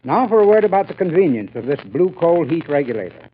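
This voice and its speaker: Higher-voiced vintage radio pitchman. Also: very animated, quick pace. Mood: earnest, folksy. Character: enthusiastic, friendly male heating expert giving radio sales talk. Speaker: sponsor's spokesman. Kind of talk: 1930s radio advertising talk. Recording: tinny, hissy old radio recording.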